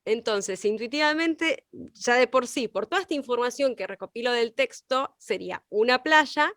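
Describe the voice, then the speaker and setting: A woman's voice: fairly high pitch, lively intonation, normal speed, a bit bright, no echo, clean studio sound. A woman co-teaching a class, reasoning aloud with questions as she explains.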